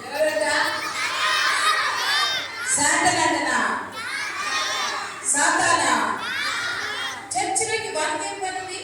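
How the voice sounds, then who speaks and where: A woman's voice: extremely high pitch, lively intonation, slow pace, long pauses. A woman presenting a children's puppet show, instructive and repeating phrases for the children. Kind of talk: lively scolding and prompting of puppets and children. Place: large hall at a church Bible school. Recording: very echoey hall, far mic, crowd noise.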